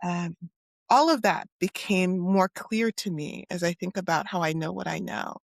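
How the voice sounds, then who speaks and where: A woman whose first language was Haitian Creole, a psychologist speaking in a thoughtful, measured way. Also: fairly low pitch, vocal fry, no echo. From United States (New York and Miami). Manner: thoughtful, measured, with soft fillers. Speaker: guest, clinical and community psychologist. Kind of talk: reflective conversational interview.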